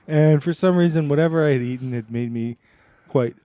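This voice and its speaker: Deadpan, mellow man chatting casually. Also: a little high, fairly quick, no echo. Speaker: comedy podcast co-host. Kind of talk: casual comedic banter and storytelling.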